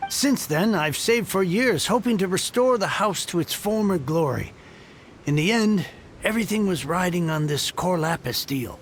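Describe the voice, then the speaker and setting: A man's voice: high voice, animated, normal speed, a little hiss or hum. A man playing an earnest, humble, effusive heir of a fallen house in a video game. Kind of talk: English video game voice acting.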